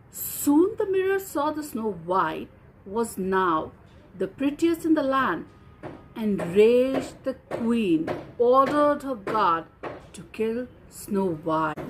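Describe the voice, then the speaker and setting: A woman narrating a fairy tale as a storyteller, in measured, deliberate short phrases. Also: on the high side, a little hiss or hum, poor audio. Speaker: storyteller and host.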